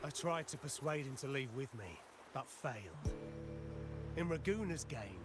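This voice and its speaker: An actor playing a world-weary, grieving former crime-family man in a video game, speaking in a measured, formal, rueful way. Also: mid-range voice, lively intonation, lightly acted. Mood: somber, bitter. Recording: loud music behind.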